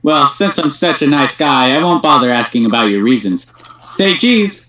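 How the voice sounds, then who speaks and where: A man's voice: medium pitch, expressive, normal speed, pushed and bright. A man playing a cocky, teasing young gamer and big brother in an amateur anime fandub reading. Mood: smug, teasing. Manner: smug, flippant, stumbles while reading a script. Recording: roomy echoing home voice-chat stream.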